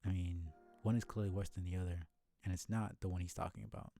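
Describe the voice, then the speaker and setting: A man gives casual, sardonic live commentary on a game, picking things apart argumentatively. His voice is low and mellow with a creaky edge. Faint game music plays behind him. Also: fairly even intonation, quick pace. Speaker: game streamer.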